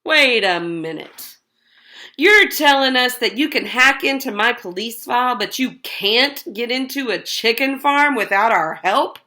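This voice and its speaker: A woman's voice: a little high, animated, measured pace, sharp tone. A woman voicing a grumpy, skeptical male snake in a children's storybook, speaking in a blunt, doubting way.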